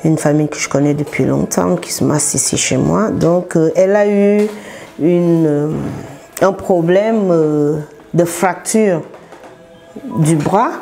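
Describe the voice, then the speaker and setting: A woman with a Cameroonian accent speaks in a low voice at a slow pace. She is a therapist being interviewed, explaining things unscripted, in halting phrases with frequent pauses. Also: creaky, mellow. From southern Cameroon. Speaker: massage therapist and business owner, interview guest.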